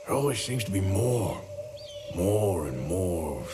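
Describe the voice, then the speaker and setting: An actor playing a gruff, world-weary Old West outlaw in a video game, blunt and dry. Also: male voice, low-pitched, very animated, slow pace, noisy background, full character voice. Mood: wistful. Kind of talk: video game cutscene acting.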